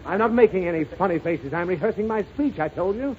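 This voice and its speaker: A man's voice: sits high, animated, fast. An actor playing a middle-aged, irritable, pompous, stammering father in 1930s radio comedy. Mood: exasperated. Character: flustered, exasperated father rehearsing a banquet speech. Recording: hissy muffled 1930s radio recording.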